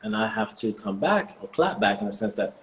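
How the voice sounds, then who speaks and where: Guy in his 40s, lowish voice, laid back, lots of "you know", just telling his story. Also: a little fast, a little hiss or hum. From born in the US, raised partly in Jamaica. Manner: relaxed, reflective storytelling with many "you know" fillers. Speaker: guest, vegan bodybuilder. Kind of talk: casual interview answers.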